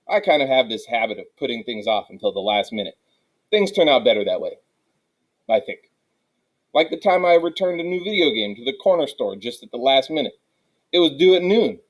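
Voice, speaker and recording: A male storyteller reads a children's chapter book aloud in a medium-pitched voice. His delivery is expressive, shaped for young listeners following a narrative. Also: rough recording.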